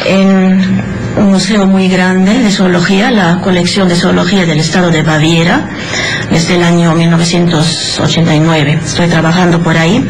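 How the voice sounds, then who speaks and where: A woman from Peru, a biologist, speaking as a guest in a radio studio interview at a desk microphone, calm and measured with slightly halting phrasing. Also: lowish voice, background noise.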